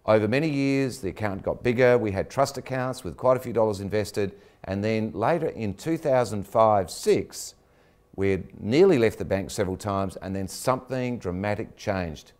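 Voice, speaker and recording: A man's voice: fairly low pitch, moderate pace, a little mellow. An older man hosting an interview show, telling a chatty personal story before he introduces his guest. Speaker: interview host.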